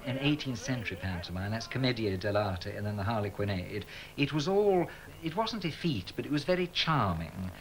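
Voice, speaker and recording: Enthusiastic low-voiced male performer. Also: animated, brisk, low-quality recording. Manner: enthusiastic, thoughtful. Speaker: pantomime performer. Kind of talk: interview.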